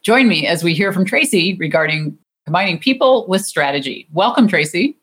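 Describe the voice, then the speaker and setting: Low-voiced woman hosting a podcast. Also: expressive, moderate pace, husky. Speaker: podcast host. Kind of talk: interview podcast.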